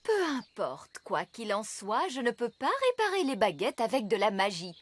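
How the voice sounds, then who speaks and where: A woman's voice: medium pitch, big pitch swings, moderate pace. A woman voicing a bossy cartoon fairy nanny in a French dub, fussy, schoolmistressy and theatrical in manner. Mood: brisk, instructive.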